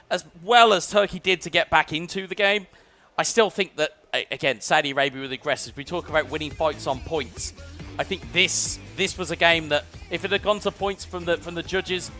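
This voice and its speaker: A man's voice: fairly high pitch, fairly quick, pushed and bright, faint music behind. A British man giving fluent, analytical play-by-play commentary on a live esports match. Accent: British English. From United Kingdom. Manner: fluent, analytical broadcaster delivery.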